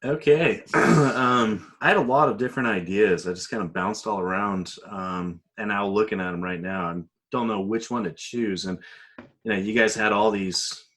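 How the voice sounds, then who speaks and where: A man's voice: on the low side, normal speed, fairly bright tone. A man co-hosting a casual podcast chat, talking in a hesitant, loosely rambling way. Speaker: podcast co-host.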